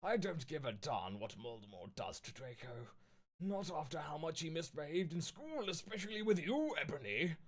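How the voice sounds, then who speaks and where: A man voicing a mean, grumpy old headmaster, low and sneering. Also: big pitch swings, normal speed.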